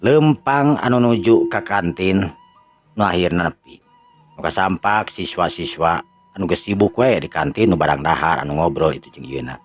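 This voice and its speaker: Low male Sundanese storyteller, slow and drawn out, lo-fi audio. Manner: slow drawn-out narration with stumbles. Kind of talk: Sundanese folk tale narration. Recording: dull lo-fi audio with faint music.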